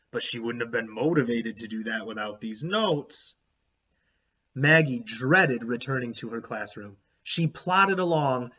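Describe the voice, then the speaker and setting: A man with a fairly high voice reads a children's book aloud in an expressive teacherly style. He leans on key words and speaks directly to young listeners. The recording is a little dull and lo-fi. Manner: animated, emphatic storytelling with questions to listeners.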